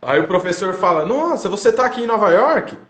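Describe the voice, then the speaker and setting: A man's voice: high voice, sing-song, fairly quick, fairly bright tone, echoey room. A man with a Brazilian accent, a native Portuguese speaker, chatting casually and telling anecdotes in colloquial speech as host of a livestream. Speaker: host of a language-learning livestream. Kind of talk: casual chat. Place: indoors, online live video call.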